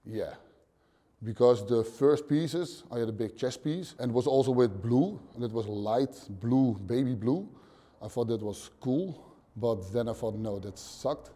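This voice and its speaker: A Dutch man in his thirties, a gym owner answering interview questions bluntly in short, halting phrases, seated in a dim gym. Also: mid-range voice, warm tone. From Netherlands. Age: 30s.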